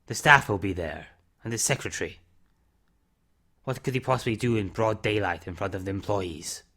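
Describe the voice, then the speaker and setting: A man playing an older reclusive character in an amateur audio drama, calm, firm and fatherly, speaking in terse, measured phrases. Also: on the low side. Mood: reassuring, steady.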